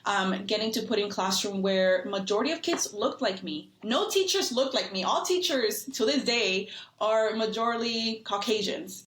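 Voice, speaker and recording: A young woman guest from Washington State and Mexico, telling her story in a lively, animated way with casual fillers. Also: medium pitch, moderate pace, few pauses, slight background noise. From Washington State, USA, and Mexico. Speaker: guest, business coach. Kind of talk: conversational storytelling. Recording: roomy echo.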